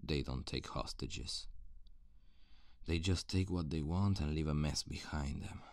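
Man acting a very deep, gravelly hardened survivor. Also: darkish tone, no room sound, clean studio sound, full character voice. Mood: tense, hushed. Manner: low, deliberate, terse and guarded. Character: gruff, hardened male apocalypse survivor, cynical loner. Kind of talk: ASMR roleplay acting, addressed to the listener.

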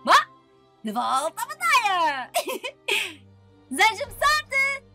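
Girl doing a spoiled cartoon belle, super high and bright, gushy and giggly. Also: very animated, very slow, soft background music, heavily acted. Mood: gushing. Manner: gushing, theatrical, giggly. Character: spoiled bubbly young Southern belle heiress. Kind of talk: fan dub of animated film.

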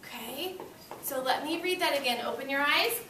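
Young female primary teacher, high voice, encouraging and instructive; distant mic with clear room noise. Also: lively intonation, moderate pace. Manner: encouraging, instructing in simple short phrases. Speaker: elementary school teacher. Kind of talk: classroom read-aloud lesson for young children. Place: school classroom, indoors. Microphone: far camera. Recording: echoey classroom, distant camera, loud room noise.

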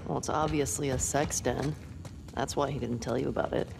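A woman's voice: very deep voice, fairly even intonation, a little fast, gravelly, background noise, exaggerated voice. A woman playing a wry, guarded young American survivor in a video game, with a dry, casual delivery. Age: young adult. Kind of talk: naturalistic video game acting.

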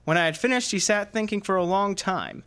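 A man's voice: high-pitched, a little fast, a bit bright, clear, resonant tone. A man playing a wry, wisecracking detective's assistant and narrator in a radio drama. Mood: matter-of-fact. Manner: wry, casual, snappy.

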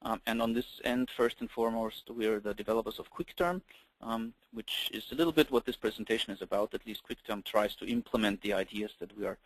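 A middle-aged man with an Austrian German accent presenting a webinar over slides, talking quickly with many ums and uhs. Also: lowish voice, steady tone, no room sound, low-quality recording. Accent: German-speaking Austrian. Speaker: webinar presenter, company founder. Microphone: computer mic on a webinar call.

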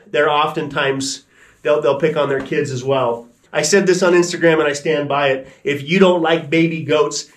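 Relaxed man chatting in a goat barn. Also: fairly high pitch. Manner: relaxed, chatty, matter-of-fact. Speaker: ranch owner and vlogger. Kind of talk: casual explaining to camera. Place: wooden barn goat pen.

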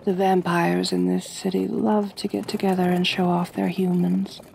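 A woman playing a gentle, doting aristocratic vampire mistress in an ASMR roleplay, speaking softly and breathily with genteel, soothing command. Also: lowish voice, level tone, moderate pace, gravelly, a little hiss or hum, poor audio. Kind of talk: ASMR roleplay, intimate acting.

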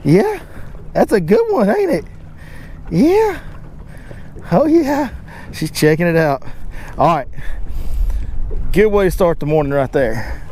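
A man in his thirties casually narrating a fishing trip from a boat, folksy and excitable, talking to his dog and the fish. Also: high-pitched, slow, pauses often, a little hiss or hum. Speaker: fishing guide and channel host. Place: outdoors on a boat on a lake.

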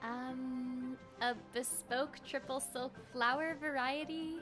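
A woman's voice: high voice, fairly even intonation, takes it slowly. A young woman chatting casually on a game livestream, giggly and flustered as she reacts aloud. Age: young adult. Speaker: streamer, voice actress. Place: indoor room, streaming setup. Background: game music.

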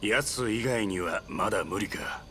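Rugged, easygoing mentor. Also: male voice, lowish voice, a little slow, fairly bright tone, slight background noise. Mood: casual, interested. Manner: rough, familiar, casual. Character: rugged, easygoing middle-aged mercenary mentor. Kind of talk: anime-style game voice acting. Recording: music behind.

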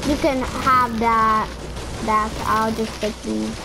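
Woman, higher pitch, casual rambling gamer, filler-heavy chatter, noisy game audio. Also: level tone, slow. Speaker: streamer playing in a squad. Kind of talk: casual gaming chat.

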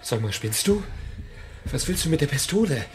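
A man's voice: fairly high pitch, sing-song, moderate pace, slight background noise. An actor playing a nervous, evasive, placating male colleague in an audio thriller. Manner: hesitant, placating. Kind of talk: German audio thriller acting.

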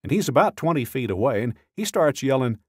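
A man reading a scripted true-crime audiobook in a measured, dramatic storytelling way, close to a studio microphone. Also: medium pitch, big pitch swings, a little mellow, husky, dry recording. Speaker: audiobook narrator.

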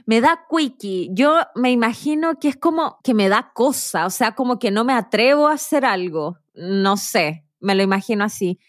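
High-voiced Chilean woman hosting. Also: normal speed, hardly any pauses, dry recording, studio quality. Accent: Chilean Spanish. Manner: animated, laughs often, playful quizzing. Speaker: podcast host. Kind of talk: casual conversation with a guest.

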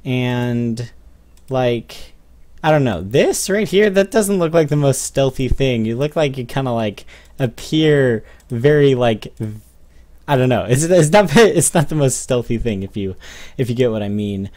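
Young guy doing chill game commentary for his channel, medium pitch, just talking casually. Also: expressive, measured pace, darkish tone. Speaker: gaming video host.

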